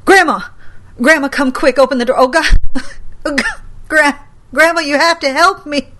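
A woman's voice: sits high, normal speed, sharp tone, a little hiss or hum, pitched above the performer's own voice. A woman voicing a panicked young man who stammers and pleads through tears. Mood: panicked pleading. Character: panicked, weeping young male grandson.

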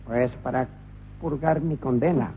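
A man's voice: medium pitch, a little slow, slight background noise, natural voice. A man voicing a bumbling male inspector turned prisoner, hesitant and stammering, in a comic radio play. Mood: flustered. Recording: dull lo-fi radio recording.

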